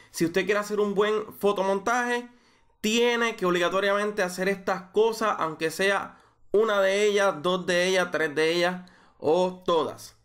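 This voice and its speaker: A young man with a Puerto Rican accent explaining a photo-editing tutorial, measured and full of small fillers and restarts. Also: high-pitched, a bit bright. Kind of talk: screen-recorded software tutorial.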